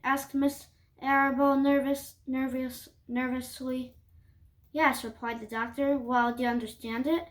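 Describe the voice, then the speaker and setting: A woman reading a children's story aloud, haltingly, with stumbles and restarts. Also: high voice, fairly even intonation.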